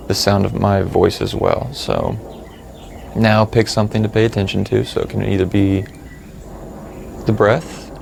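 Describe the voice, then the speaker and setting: A man leading a guided meditation in a calm, unhurried, conversational way, with long pauses and plain, informal wording. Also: lowish voice, vocal fry, slight background noise.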